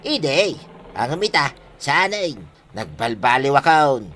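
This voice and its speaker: A man's voice: mid-range voice, big pitch swings, slow pace, a bit bright. A man playing a proud, reluctant husband who comes round to helping, in an Ilocano radio comedy drama. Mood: willing, cheerful. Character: proud, reluctant husband grudgingly turning helpful.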